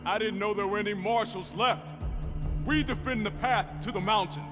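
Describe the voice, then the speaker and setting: An actor playing a dutiful, wary clan gate guard in a video game, calling out formally. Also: male voice, high voice, normal speed, over music, lightly acted. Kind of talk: video game cutscene acting.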